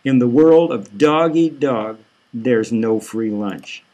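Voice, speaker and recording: A man's voice: medium pitch, slow pace, warm tone, dull audio, close to an everyday voice. A man voicing a smug masked extremist villain in an audiobook, pompous and speechifying. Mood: cold, emphatic.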